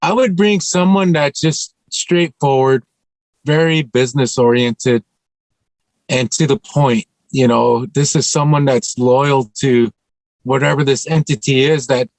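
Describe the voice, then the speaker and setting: A man with a medium-pitched voice talks casually on a video call panel. The sound is dry and close, and he repeats phrases for emphasis in a conversational way. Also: steady tone, measured pace, a little mellow, dry recording, rough recording. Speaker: actor on a panel. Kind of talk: casual chat.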